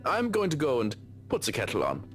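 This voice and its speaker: An actor playing a snooty, formal, dryly condescending personal assistant to a rich household in a visual novel game. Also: male voice, mid-range voice, huge pitch swings, fairly quick, soft background music. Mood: dry disdain.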